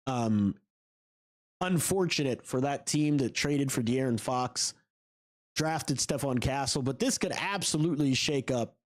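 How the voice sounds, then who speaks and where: A man's voice: mid-range voice, darkish tone. A man hosting a solo sports podcast while sick with a cold, sounding nasal and congested, posing rhetorical questions with frequent fillers. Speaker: podcast host.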